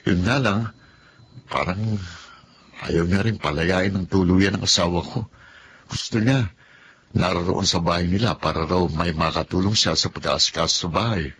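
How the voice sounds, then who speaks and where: Low-voiced frustrated young husband. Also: male voice, normal speed, pauses often, a bit bright, a little hiss or hum. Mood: dejected, confiding. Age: 30s. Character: frustrated thirty-year-old husband, blunt and aggrieved. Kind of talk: Filipino radio drama acting.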